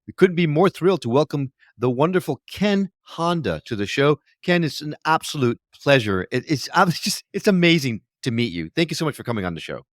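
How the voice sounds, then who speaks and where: A man's voice: fairly high pitch, big pitch swings, brisk, hardly any pauses, no room sound, clean studio sound. A man co-hosting a podcast who talks eagerly and effusively, sometimes stumbling over his words. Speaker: podcast co-host. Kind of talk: podcast interview.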